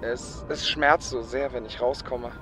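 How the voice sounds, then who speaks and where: A man as an anxious, withdrawn recluse in a radio play. He speaks flatly and hesitantly, with music behind him. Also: mid-range voice, fairly quick, natural voice. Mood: fearful. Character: withdrawn, anxious, ailing brother living in cyberspace. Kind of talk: German audio drama acting. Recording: faint music bed.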